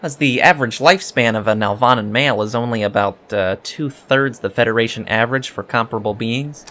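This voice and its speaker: A man's voice: mid-range voice, brisk, sharp tone, close to an everyday voice. A man playing a polite, precocious teenage alien cadet in a sci-fi audio drama, earnest and slightly hesitant. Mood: earnest, explaining. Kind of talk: scripted audio drama dialogue.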